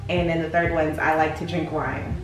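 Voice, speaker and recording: A woman guest chatting during a party game in a home kitchen, sounding confident and quick to answer. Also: deep voice, level tone, soft background music. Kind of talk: casual chat at a party game. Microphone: far camera. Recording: roomy sound from a far camera.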